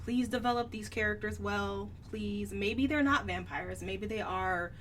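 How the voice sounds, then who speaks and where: A woman's voice: mid-range voice, unhurried, a bit bright. A woman co-hosting a podcast, giving casual book critique in a blunt, opinionated way. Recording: distant mic, faint background noise.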